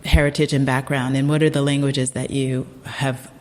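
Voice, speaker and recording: Low-voiced woman host, reverberant room. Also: flat delivery, moderate pace. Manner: eager, affirming. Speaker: podcast host. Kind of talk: interviewing a guest. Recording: long reverb.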